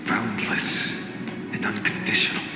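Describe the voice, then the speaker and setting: A man's voice: unhurried, natural voice. A man playing a thoughtful, resolute young hero in a fantasy video game, with earnest, dramatic phrasing. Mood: pained wonder. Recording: echoey game audio under loud music. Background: loud music.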